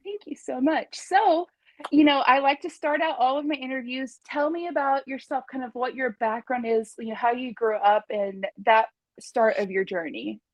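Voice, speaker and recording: A woman's voice: high-pitched, moderate pace, dry recording, poor audio. A woman hosting a casual interview on her channel, speaking in a friendly, appreciative way.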